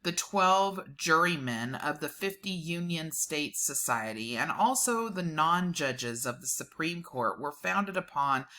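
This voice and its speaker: Low, bright-voiced woman reading aloud. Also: lively intonation, unhurried, hardly any pauses. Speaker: host reading a document aloud. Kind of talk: dramatic reading with informal asides.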